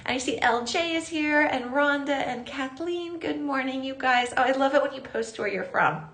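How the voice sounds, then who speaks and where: A woman's voice: high voice, normal speed, a bit bright. Sniffly woman hosting a live craft stream. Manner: chatty, greets viewers by name.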